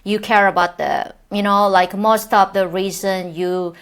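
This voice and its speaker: A North Korean-born woman hosting an interview in halting, earnest English, with a North Korean accent. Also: mid-range voice, fairly even intonation, smooth. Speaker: host.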